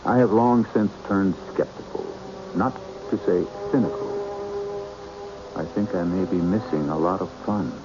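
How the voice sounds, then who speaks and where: An older man hosting a radio mystery show with scripted narration, wry, measured and literary in manner. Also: low voice. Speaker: host. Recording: muffled, noisy old radio broadcast.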